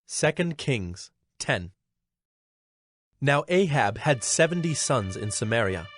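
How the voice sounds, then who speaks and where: A man narrating scripture in a measured, formal style as part of a dramatized audio Bible. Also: mid-range voice, big pitch swings, fairly bright tone, no echo, clean studio sound. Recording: background music under the voice.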